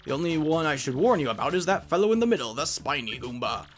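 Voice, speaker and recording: A man's voice: a little high, lively intonation, fast talker, soft background music, close to an everyday voice. A man voicing a fussy, lecturing old professor creature in a video game fan dub. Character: old male professor Goomba, fussy know-it-all mentor.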